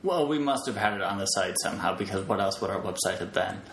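A man's voice: fairly low pitch, lively intonation, fast talker, fairly bright tone. A man chatting casually as a podcast guest, telling stories in a hesitant, self-deprecating way. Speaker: podcast guest, web developer. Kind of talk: casual conversational interview. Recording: roomy echo, clear background noise.

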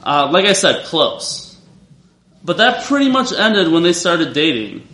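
A man telling a personal story to a live audience in a wry, confessional way, speaking loudly without the microphone. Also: a little high, sing-song, unhurried. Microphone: not using the microphone. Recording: roomy live venue sound.

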